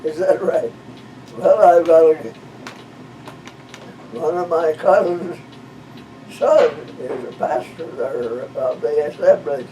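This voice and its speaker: A man over one hundred years old, originally from western Missouri, talking with a visitor in a slow, halting way and searching for names. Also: on the high side, mellow. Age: 100s. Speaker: interviewee, retired minister. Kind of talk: casual conversation. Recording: distant mic with background noise.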